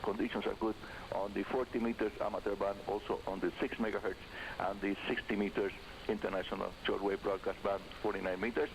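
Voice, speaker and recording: An older man presents a hobby radio show in a fairly low voice. His delivery is scripted and emphatic, speaking to listeners as friends. The sound is a shortwave broadcast with clear hiss and static over the voice. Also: flat delivery, fairly quick. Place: radio studio, heard over shortwave receiver. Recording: hissy, noisy shortwave radio broadcast reception. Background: loud hiss and static.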